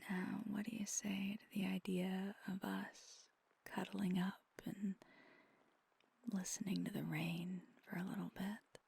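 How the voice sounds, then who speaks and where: A woman playing an affectionate, gentle vampire girlfriend in an ASMR roleplay, speaking in a soft, breathy, intimate whisper. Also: medium pitch, little pitch movement, heavily acted. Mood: tender, soothing. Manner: slow, hushed, intimate.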